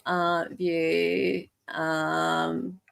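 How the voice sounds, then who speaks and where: Excitable Australian woman chatting live. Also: fairly low pitch, drawn-out words, gravelly, clear, resonant tone. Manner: excitable, muttering to herself as she searches. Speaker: podcast host. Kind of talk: casual live chat while reading documents.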